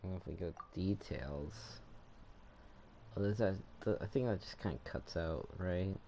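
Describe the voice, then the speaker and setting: Very low male voice, creaky, casual game commentary, rambling, thinking aloud, music behind. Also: measured pace, dark tone, slight background noise. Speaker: video game streamer.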